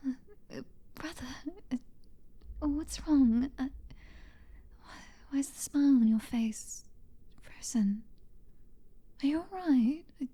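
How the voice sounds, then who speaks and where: A woman performs a young female vampire in an ASMR roleplay, a devoted and eerily childlike sister with a British accent. Her voice is very high yet mellow, often sinking into breathy whispers. She speaks slowly and haltingly, tender and pleading. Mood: worried, reassuring.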